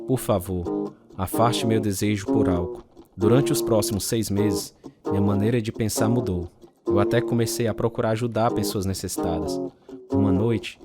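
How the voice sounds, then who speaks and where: A man's voice: lowish voice, lightly acted. A man with a Brazilian accent playing a troubled, earnest reformed alcoholic, narrating and acting in a radio drama. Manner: earnest, confessional. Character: reformed alcoholic ex-prisoner telling his story, troubled but earnest. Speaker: narrator and lead character.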